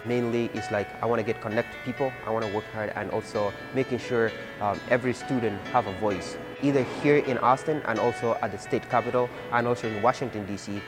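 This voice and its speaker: A man's voice: medium pitch, steady tone. A young man from Ethiopia, a student leader, telling his story earnestly with many fillers. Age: young adult. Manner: earnest, halting with frequent fillers. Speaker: community college student leader. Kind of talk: personal interview testimony. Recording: music bed under the voice.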